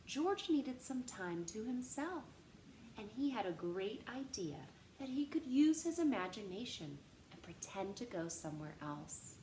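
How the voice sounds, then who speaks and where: A woman hosts a read-aloud for young children in a fairly high voice. Her delivery is lively and expressive, taking its time as she speaks straight to the listeners. The room rings noticeably, with slight noise behind her. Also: a little slow. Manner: animated, addressing young children with questions. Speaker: storytime reader and host. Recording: roomy echo with faint background noise.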